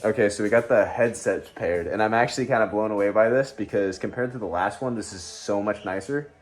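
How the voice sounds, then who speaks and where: A man's voice: on the low side, a little fast. A man giving a casual product review to his viewers in informal, slangy language. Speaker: product reviewer and video host.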